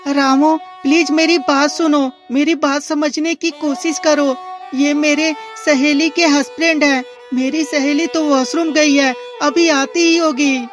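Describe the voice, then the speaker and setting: A woman's voice: sits high, fairly even intonation, a little slow, faint music behind, voice pushed up. A woman voicing a wronged, indignant young wife who protests earnestly in a melodramatic cartoon moral story. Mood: pleading.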